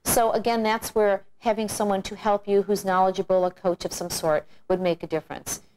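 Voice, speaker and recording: A middle-aged woman, a pageant coach and judge, giving measured, encouraging advice in an instructional video. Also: mid-range voice, level tone, darkish tone, slight background noise, poor audio.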